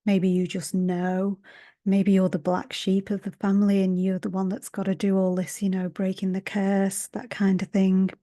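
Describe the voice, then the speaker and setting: A woman, a hypnotherapist and healer, giving a gentle spiritual talk to camera through an earbud mic, slightly raspy from a sore throat and often half-whispering. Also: mid-range voice, monotone, moderate pace.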